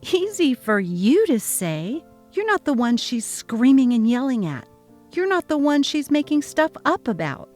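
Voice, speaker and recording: Brief: woman reads exasperated young mom, sharp and emphatic, dramatic text-story narration. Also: sits high, big pitch swings, a little slow, faint music behind. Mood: defiant. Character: exasperated young mother, fed up and defiant.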